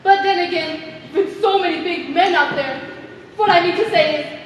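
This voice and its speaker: Girl playing muddled earnest committee lady, super high voice, rambly, far away in echoey hall. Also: normal speed. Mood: earnest, puzzled. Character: earnest, muddled female meeting member. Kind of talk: stage play acting. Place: theater stage. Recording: distant echoey hall, faint noise.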